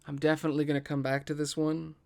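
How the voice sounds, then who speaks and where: A man's voice: fairly high pitch, monotone, fast talker, vocal fry, darkish tone. A man hosting and narrating a scripted table read podcast.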